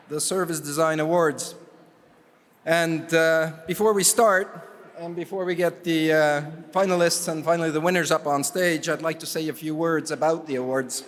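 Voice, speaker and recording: Man speaking in a reverberant hall. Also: fairly high pitch. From Canada. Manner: relaxed, wry, halting with fillers. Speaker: jury chair presenting awards. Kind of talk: ceremony speech with asides. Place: large conference hall.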